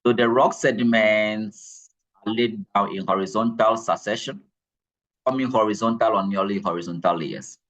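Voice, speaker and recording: A man's voice: lowish voice, moderate pace, fairly bright tone. A man giving a geology lecture to students, repeating key points and checking in with "all right?". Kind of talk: classroom lecture.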